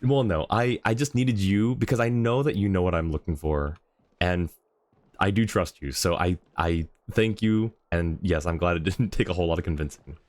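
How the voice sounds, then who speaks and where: A man's voice: low-pitched, normal speed, darkish tone, dry recording. A man livestreaming a game in a casual, chatty way, thinking aloud and talking to his chat.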